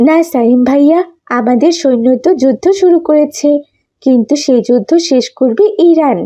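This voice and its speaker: A woman voicing an eager, emphatic little refugee girl in a cartoon story, excited to share news. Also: sits high, moderate pace, natural voice. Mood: excited, proud.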